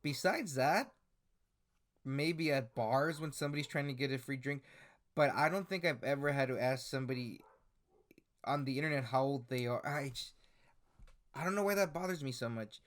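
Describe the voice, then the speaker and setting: A man's voice: medium pitch, normal speed, pauses often. A man in his twenties giving casual commentary on screenshots and articles, hesitant, with many fillers and restarts. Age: 20s. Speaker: commentary YouTuber.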